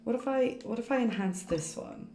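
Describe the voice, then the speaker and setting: A woman's voice: on the high side, moderate pace, warm tone, slight background noise. A woman streaming a game with casual, chatty commentary, thinking aloud as she plays. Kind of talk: casual live gameplay commentary. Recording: roomy echo, game music behind. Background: game music.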